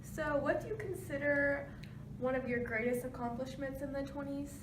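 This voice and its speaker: A woman's voice: high-pitched, moderate pace. A woman working as interviewer in a scripted mock news interview. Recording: noisy, echoey room, distant mic.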